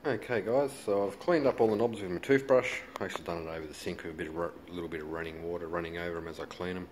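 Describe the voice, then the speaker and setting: Male, Australian accent, low register. Laid-back, colloquial DIY demonstrator on a slightly noisy handheld home video. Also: rapid-fire. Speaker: hobbyist demonstrator. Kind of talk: casual hands-on how-to commentary.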